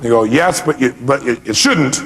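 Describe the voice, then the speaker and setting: A man's voice: mid-range voice, lively intonation, normal speed. A man speaking as a motivational seminar speaker, emphatic, putting rhetorical questions to his audience and often answering them himself.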